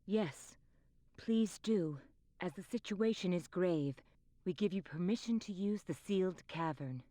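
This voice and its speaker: A woman's voice: fairly low pitch, a little slow, warm tone, no echo, close to an everyday voice. A woman playing a grave, commanding queen who speaks formally in a video game cutscene. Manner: formal, stately royal speech.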